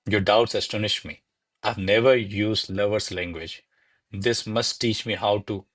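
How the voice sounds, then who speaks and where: A man's voice: fairly low pitch, fairly even intonation, measured pace, fairly bright tone, no echo. A man playing a diffident, formal male secretary who speaks deferentially to his lady in a radio play. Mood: humble, evasive. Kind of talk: stage verse drama read as radio play.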